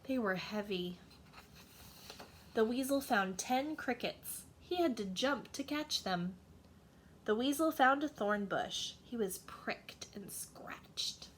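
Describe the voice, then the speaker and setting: Slow, high-voiced woman reading a storybook. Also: lively intonation, a little hiss or hum. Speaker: teacher reading to children. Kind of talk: children's storybook narration.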